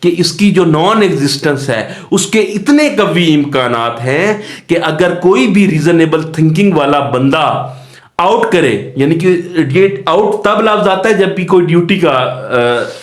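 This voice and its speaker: A man giving a calm law lecture, explaining step by step and repeating key terms. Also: a little high, measured pace. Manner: explains step by step, mixing English legal terms into Urdu-style Hindi; calm, repeats key phrases and checks in with "theek hai ji". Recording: echoey room.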